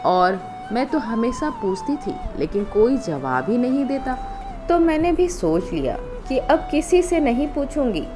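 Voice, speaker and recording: A woman's voice: fairly high pitch, very animated, measured pace, pitched above the performer's own voice. A woman voicing a young married daughter-in-law in an audio story, hurt and indignant, firmly standing up for herself, over music. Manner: firm, indignant, emotional protesting.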